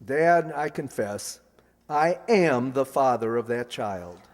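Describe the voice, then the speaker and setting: An actor playing an earnest, flustered young son in love, emphatic and pleading, in a radio comedy. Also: male voice, mid-range voice, animated, slow pace. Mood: resolved. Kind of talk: old-time radio comedy acting. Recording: reverberant hall, stage radio play.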